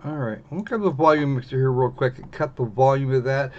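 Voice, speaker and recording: A man's voice: mid-range voice, brisk, a little mellow. A man livestreaming a visual novel, giving casual live commentary into a slightly dull, noisy microphone.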